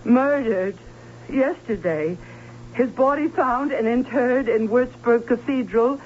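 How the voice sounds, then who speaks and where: An actress playing a prim, anxious, fussy middle-aged aunt who tells her story in an old radio drama, with dramatic emphasis and deliberate pauses. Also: fairly high pitch, a little hiss or hum. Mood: grave. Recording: dull vintage radio recording, faint music.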